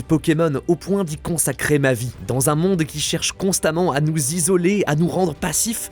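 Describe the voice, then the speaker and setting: A man's voice: a little high, a little mellow. A man in his twenties narrating a scripted video essay with earnest, dramatic delivery. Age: 20s. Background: faint music.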